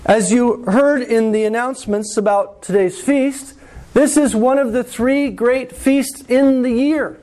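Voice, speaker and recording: A man preaching a sermon to his congregation, slow and deliberate, with dramatic pauses and repetition. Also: very high voice, dark tone. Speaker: pastor.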